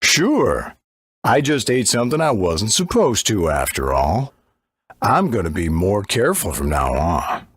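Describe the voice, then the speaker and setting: A man voicing a good-natured, slightly bumbling strong old master in a video game, easygoing and drawn-out. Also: lowish voice, wildly swooping pitch, slightly rough, no echo, full character voice. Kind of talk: video game character acting.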